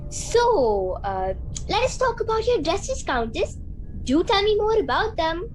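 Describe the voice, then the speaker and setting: A woman plays a blunt, bold mother in a student radio play. The voice is high and swings widely, with theatrical emphasis, and faint music plays underneath. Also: sing-song, unhurried, full character voice. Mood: polite, uneasy.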